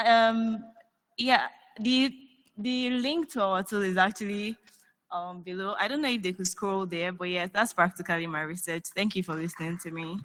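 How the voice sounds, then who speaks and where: A woman's voice: mid-range voice, fairly bright tone. A woman giving a hesitant conference research talk with many fillers. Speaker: researcher presenting. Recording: long reverb of a hall.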